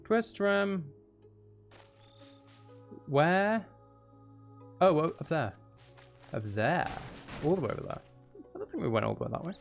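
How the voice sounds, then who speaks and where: A man's voice: on the high side, big pitch swings, measured pace, gravelly, pauses often, faint music behind. A man streaming a video game, thinking aloud in a casual, playful running commentary. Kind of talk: casual live gameplay commentary.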